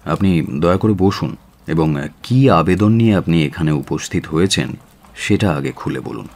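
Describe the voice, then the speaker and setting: A confident brainy detective in a radio play, deep voice, measured and commanding. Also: male voice, gravelly, darkish tone. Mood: formal, reserved. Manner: deliberate, emphatic, authoritative. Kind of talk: radio drama acting.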